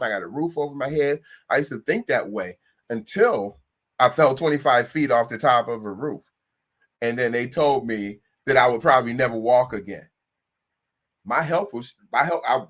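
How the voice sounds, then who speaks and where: A man of sixty hosting a talk, speaking conversationally, repeating phrases and rising in pitch to stress points. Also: a bit bright. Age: 60s. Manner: conversational, repeats himself, rises in pitch for emphasis. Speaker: host. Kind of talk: motivational talk.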